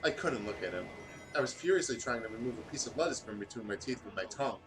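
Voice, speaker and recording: A man reads fan fiction aloud in a casual, gaming-video style. His pitch moves around a lot and sits mid-range, with faint music behind him. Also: expressive, fast.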